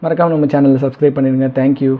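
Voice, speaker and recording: A man's voice: mid-range voice, fairly even intonation, fast. A man hosting a casual unboxing, talking conversationally and mixing in English words. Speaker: video host. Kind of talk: casual product unboxing commentary. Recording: dull lo-fi, faint music behind. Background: faint music.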